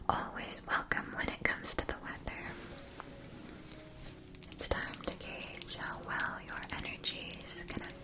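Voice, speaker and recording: A gentle, attentive keeper of a magic wand shop, played by a woman in an ASMR fantasy roleplay. She speaks in a soft whisper and takes her time over each phrase. Her words are carefully formed and soothing. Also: on the high side, rough recording. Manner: slow, soothing, careful enunciation. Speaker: ASMR performer.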